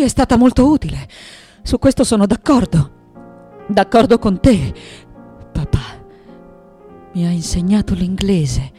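Slow, intense elderly woman artist. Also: on the low side, warm tone. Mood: bitter, sarcastic. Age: older. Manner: dramatic, halting phrases with pauses, sinking into whispers. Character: elderly woman artist, intense, wounded, recalling her childhood. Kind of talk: theatrical radio drama monologue.

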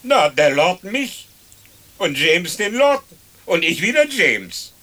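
A man's voice: high voice, very animated, slow pace, sharp tone, heavily acted. An actor playing the matter-of-fact ghost of a hanged man in a radio play, making short plain remarks. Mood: dry. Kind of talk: radio drama acting.

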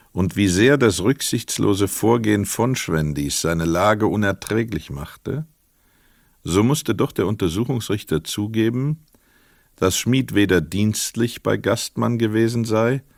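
A man narrating a literary radio play from a script in a measured, precise storytelling manner. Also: on the low side, gravelly, dry recording, studio quality.

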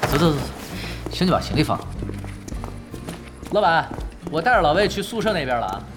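An unruffled, confident male team leader in an audio drama. He talks quickly and offhandedly with animated, dryly teasing pitch swings over music. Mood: offhand, confident. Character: laid-back, confident male pro gamer and team leader.

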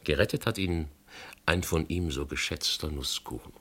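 An actor playing a shrewd, methodical police inspector in a German radio crime drama, reasoning insistently with a gravelly, husky voice. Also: male voice, rumbling low, fairly bright tone, heavily acted. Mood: insistent, accusing. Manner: deliberate, insistent, reasoning point by point.

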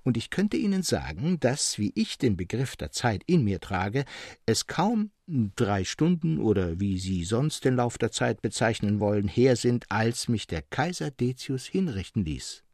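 Husky, serenely insistent mad hermit, voiced by a man. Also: medium pitch, measured pace, no echo, studio quality. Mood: calmly reasoning. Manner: calm, eloquent, insistent, with rhetorical questions. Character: serene, mad male hermit believing himself a martyred monk. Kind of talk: dramatic literary audiobook reading.

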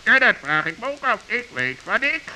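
A man's voice: high-pitched, big pitch swings, moderate pace, bright, forceful tone, pitched above the performer's own voice, exaggerated voice. A man voicing a stern, sensible forest bird in a children's radio play, questioning and matter-of-fact. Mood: dismissive. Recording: hissy old radio recording.

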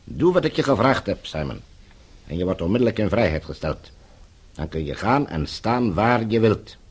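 A blackmailing official in a radio drama. His voice is low and rough-edged, his phrasing courteous and formal, with controlled menace under every line. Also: sing-song, full character voice. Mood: menacing. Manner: formal, smooth-tongued, measured menace. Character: coldly polite blackmailing villain, a controlling official.

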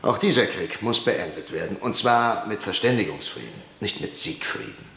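Older professor type, deep voice, earnest, echoey room. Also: male voice, lively intonation, normal speed, sharp tone. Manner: earnest, reasoned arguing. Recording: roomy echo.

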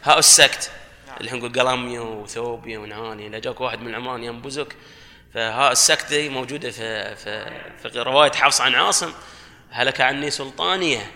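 A man, a guest on a panel, explaining his points with loud bursts of emphasis. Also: mid-range voice, quick pace. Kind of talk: panel discussion. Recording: reverberant hall sound.

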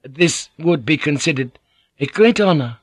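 A man's voice: fairly high pitch, animated, a little slow, breathy edge, no room sound, studio quality, voice pushed up. A man voicing a gracious, soothing noblewoman. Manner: polite, soothing, earnest. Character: gracious young Japanese noblewoman interpreter, anxious. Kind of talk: audiobook character voice.

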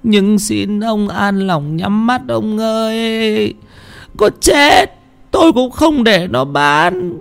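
A wailing old widow. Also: male voice, steady tone, very slow, pauses often, fairly bright tone, exaggerated voice. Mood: sobbing. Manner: high, wailing, tearful pleading. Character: grieving old widow, desperate and sobbing.

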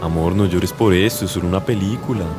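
Man playing low-voiced soothing Colombian boyfriend. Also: fast talker, over music, close to an everyday voice. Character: patient, placating young boyfriend.

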